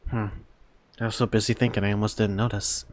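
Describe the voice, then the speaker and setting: A woman giving casual, exclamatory reactions to a game as a streamer, expressive and prone to swearing. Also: rumbling low, quick pace, slight background noise. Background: game music.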